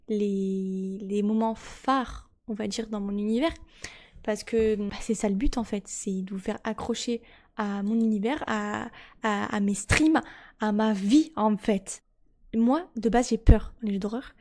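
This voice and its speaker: A woman's voice: fairly high pitch, warm tone. A young woman, chatting casually and rambling as she streams a game, often correcting herself. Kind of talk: casual chat while gaming.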